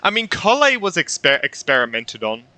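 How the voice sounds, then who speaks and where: A man's voice: on the high side, wildly swooping pitch, normal speed, sharp tone, dry recording. A young man giving casual, reactive playthrough commentary as a gaming streamer, often repeating himself, close on the mic.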